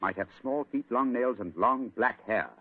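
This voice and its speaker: An actor playing a sharp, cool-headed male detective in an old radio drama, crisp and deliberate. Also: mid-range voice, dry recording, lightly acted. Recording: thin phone-like radio recording, hiss.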